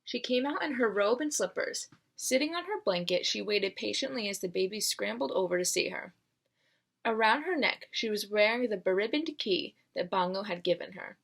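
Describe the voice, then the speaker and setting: A woman teacher giving a read-aloud lesson, narrating a children's novel in an expressive, instructive way. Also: medium pitch, moderate pace, gravelly.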